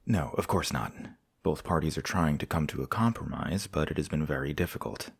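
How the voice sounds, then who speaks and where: Composed, very deep-voiced prince , acted by a man. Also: creaky, slightly rough, dry recording, clean studio sound, heavily acted. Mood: grave, measured. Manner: measured, formal. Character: courteous, composed visiting foreign prince, a candid friend. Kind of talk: audio drama acting.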